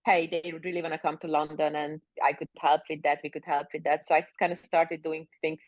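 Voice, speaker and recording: A woman from Hungary, circling her points with constant "like" and "you know". Her voice sits fairly low. The recording is dull and dry, like remote audio. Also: level tone, fairly quick, dry recording. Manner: rambling, heavy with fillers like "like" and "you know". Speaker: guest. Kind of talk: casual interview chat.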